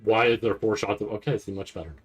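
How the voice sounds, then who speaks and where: A man doing casual livestream reaction commentary, rambling and stammering over repeated words with a nervous, excitable manner. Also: on the low side, fast talker, breathy edge, faint music behind. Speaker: streamer reacting to a live anime event.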